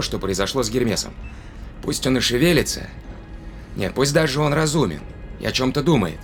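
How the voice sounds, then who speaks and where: A man's voice: medium pitch, natural voice. An actor playing a decisive spaceship crewman who reasons aloud and gives orders in an audio drama. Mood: urgent, commanding. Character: decisive male spaceship crewman planning a counterattack.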